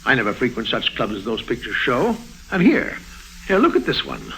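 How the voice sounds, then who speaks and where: An actor in a 1950s radio drama playing a proud, embattled governor, stiff, formal and exasperated. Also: male voice, mid-range voice, huge pitch swings, fairly quick, fairly bright tone. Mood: indignant. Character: proud, embattled male state governor, indignant and desperate. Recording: noisy old 1950s radio recording.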